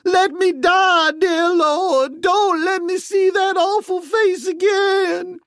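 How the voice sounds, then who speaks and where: A man voicing a hysterical older woman servant who cries out in high, drawn-out wails. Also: fairly even intonation, fairly bright tone, dry recording, studio quality, exaggerated voice. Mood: wailing. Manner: high keening cries, drawn-out sobbing delivery.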